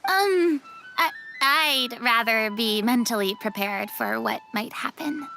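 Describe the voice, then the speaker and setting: A woman's voice: a little high, lively intonation, takes it slowly, vocal fry, over music, heavily acted. An actress playing an earnest, hesitant young woman, musing expressively, in a video game. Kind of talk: video game character dialogue.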